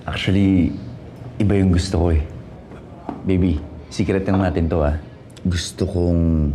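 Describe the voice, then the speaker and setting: An actor playing a caring, playful young boyfriend in a romantic drama, coaxing in casual Taglish with a Filipino accent. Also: male voice, deep voice, warm tone, a little hiss or hum, full character voice. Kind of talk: naturalistic romantic TV drama acting.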